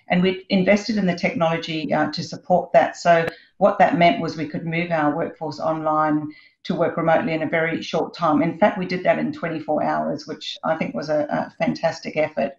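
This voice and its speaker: A woman executive giving a measured, composed answer on a webinar panel over a video call. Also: sits low, steady tone. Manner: measured, composed corporate delivery. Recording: roomy, dull video-call audio.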